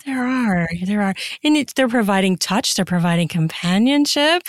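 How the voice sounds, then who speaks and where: A woman's voice: medium pitch, animated, moderate pace, no room sound, studio quality. A woman chatting casually and emphatically as a guest in a podcast interview.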